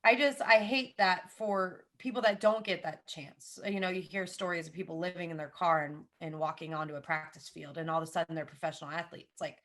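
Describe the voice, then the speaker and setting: Bright-voiced Southern American woman. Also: fairly low pitch, brisk, few pauses. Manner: animated and opinionated, with frequent asides and emphatic repetition. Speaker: podcast guest. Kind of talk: casual podcast chat.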